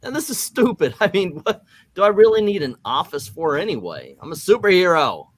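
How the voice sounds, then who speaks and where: Man playing animated, insecure superhero boss. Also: sits high, fairly quick, no room sound. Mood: fretful musing. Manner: melodramatic, rambling. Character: insecure, self-doubting male superhero team leader. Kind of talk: comic book dramatic reading, amateur.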